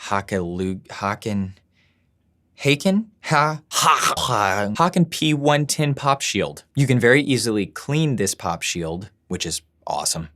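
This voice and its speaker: A man's voice: on the low side, big pitch swings, measured pace, fairly bright tone. A man who runs a voice-over studio, giving a chatty, self-deprecating tutorial from his studio at work. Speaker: voice-over studio owner and teacher. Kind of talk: gear tutorial video.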